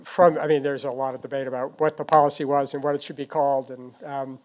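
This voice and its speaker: A man answering questions as a foreign policy expert, speaking off the cuff in a halting, thoughtful, hedging way. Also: medium pitch, little pitch movement, a little mellow, rough recording. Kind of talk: unscripted answers at a policy discussion.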